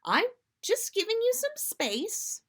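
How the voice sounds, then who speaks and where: A woman voicing a kindly, motherly woman with a brisk, firm manner in a story reading. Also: very high-pitched, huge pitch swings, a bit bright, voice pushed up, exaggerated voice. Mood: brisk, caring.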